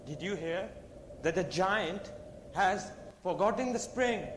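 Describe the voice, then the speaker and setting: A man's voice: high voice, animated, slow. A male actor playing a gossiping, judgmental winter spirit in a school stage play. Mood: scornful. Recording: reverberant noisy hall.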